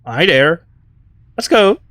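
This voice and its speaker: A man's voice: high voice, very animated, slow pace, a bit bright, no echo. A man giving casual, playful game commentary, reacting in short murmured remarks on a close mic. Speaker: gaming video host.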